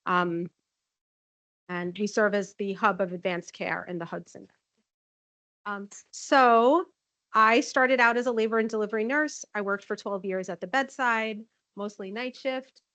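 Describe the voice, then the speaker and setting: Loud, chatty woman presenting a talk. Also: medium pitch, steady tone, a little slow, no echo. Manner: friendly, chatty, frequent "um" fillers. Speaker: conference presenter, nursing informatics manager. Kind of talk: conference talk with slides. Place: conference room. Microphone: handheld or podium microphone.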